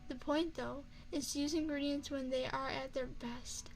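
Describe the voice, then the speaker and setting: A woman playing an elegant, flirtatious vampire chef in an ASMR roleplay, speaking formally and courteously with deliberate, teasing phrasing into a close microphone. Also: high-pitched, fairly even intonation, faint music behind, low-quality recording. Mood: gracious, proud. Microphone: close binaural microphone.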